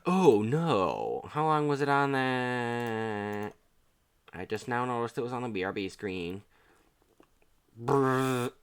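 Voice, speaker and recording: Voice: mid-range voice, lively intonation, slow, pauses often, clean tone. A streamer with a slangy, exclamatory way of talking, reacting live to a game.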